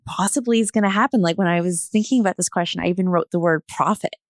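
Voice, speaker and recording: Thoughtful woman with a lowish voice. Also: dry recording, studio quality. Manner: thoughtful, tentative, pausing mid-sentence. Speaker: podcast guest, theatre artist. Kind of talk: casual discussion.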